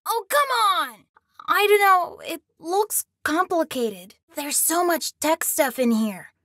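A woman's voice: extremely high pitch, fairly bright tone, dry recording, studio quality, exaggerated voice. A woman voicing a young boy in a video game, scared but plucky and resourceful, speaking in an earnest, anxious way.

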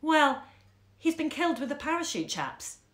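A woman's voice: high-pitched, sing-song, normal speed, fairly bright tone. A woman voicing a weary, dutiful young husband in a read-aloud story. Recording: roomy echo.

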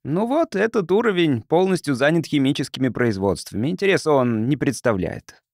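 A reserved, evasive councillor, read by a male audiobook narrator, formal and troubled. His phrasing is reluctant, yet the pitch swings in a lively way, recorded dry and without room sound. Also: a little fast, no echo. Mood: curt. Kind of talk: audiobook character reading.

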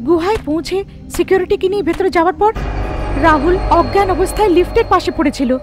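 A woman voicing a worried young wife in an animated horror story, anxious and earnest. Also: very high voice, fast, over music, pitched above the performer's own voice.

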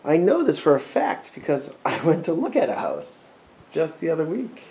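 A man's voice: a little high, wildly swooping pitch, normal speed, darkish tone. An American man in his forties narrating a casual exploring vlog, wry, muttering asides to himself. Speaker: urban explorer vlogger. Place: inside and behind a derelict abandoned house. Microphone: handheld camera mic. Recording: noisy handheld camera audio.

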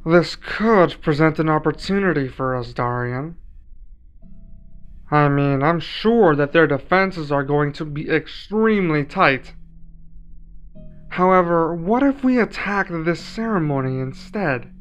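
A man's voice: fairly high pitch, lively intonation, unhurried, smooth. A man playing a scheming villain lieutenant in an audio roleplay, polite and persuasive toward his master. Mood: eager persuasion. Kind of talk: roleplay ASMR villain acting. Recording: slightly dull sound, music behind.

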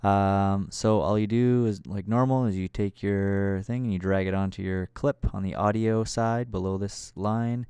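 A man narrating a casual software tutorial in an informal, loosely worded, step-by-step way. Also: fairly low pitch, steady tone, measured pace, hardly any pauses, smooth, no room sound. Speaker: tutorial presenter.

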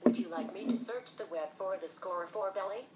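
A text-to-speech female voice assistant giving polite, even, scripted answers from a phone. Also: medium pitch, fairly quick, noisy background.

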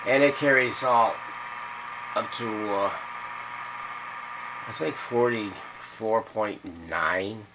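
A middle-aged man giving a casual, halting, folksy hands-on demonstration, thinking aloud at a workbench. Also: fairly low pitch. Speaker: radio restorer demonstrating a repair. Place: cluttered indoor electronics workbench. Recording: loud background noise and radio hum.

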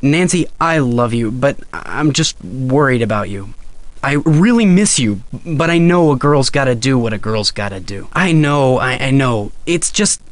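Earnest young boyfriend with lively intonation male actor. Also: medium pitch, unhurried, no room sound, close to an everyday voice. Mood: worried, tender. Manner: earnest, affectionate. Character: devoted, worried young boyfriend of a girl sleuth. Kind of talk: video game character acting.

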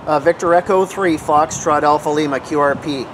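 A middle-aged man with a slightly high voice talks casually, as in an amateur radio vlog. He is recorded outdoors on a camera microphone, with clear background noise around him. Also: moderate pace. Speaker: vlogger and amateur radio operator. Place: outdoors in a grassy backyard.

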